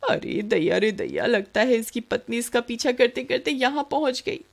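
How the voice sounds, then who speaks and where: A woman's voice: a little high, expressive, normal speed, gravelly, pitched above the performer's own voice. Woman acting a nagging, fearful housewife. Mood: alarmed. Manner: nagging, scolding. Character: sharp-tongued, fearful, scolding housewife. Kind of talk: Hindi animated tale acting.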